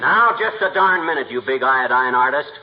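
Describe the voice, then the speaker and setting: An actor playing a boastful, blustering small-town husband in a 1940s radio comedy, with folksy, wisecracking, slangy talk. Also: male voice, medium pitch, expressive, moderate pace, natural voice. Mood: indignant boasting. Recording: noisy, muffled 1940s radio recording.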